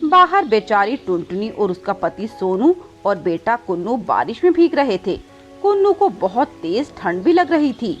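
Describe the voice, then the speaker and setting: A woman's voice: mid-range voice, big pitch swings, unhurried, lo-fi sound, soft background music. A woman narrating a scripted children's moral story.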